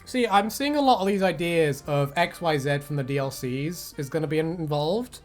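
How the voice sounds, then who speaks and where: A woman's voice: deep voice, animated, normal speed, darkish tone, soft background music. A woman co-hosting a video, chatting casually as a fan in a jokey, giggly, sweary way. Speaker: video co-host. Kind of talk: casual fan chat and commentary.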